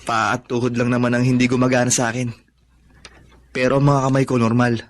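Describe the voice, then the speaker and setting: Actor as earnest, wistful young son. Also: male voice, medium pitch, little pitch movement, fairly quick, a little hiss or hum, natural voice. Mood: determined. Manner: earnest, polite. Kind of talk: radio drama acting.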